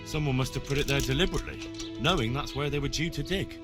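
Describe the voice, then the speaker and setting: A man's voice: mid-range voice, fast talker, over loud music, close to an everyday voice. An actor playing an earnest, inquisitive young medieval man in video game dialogue, polite and plain-spoken.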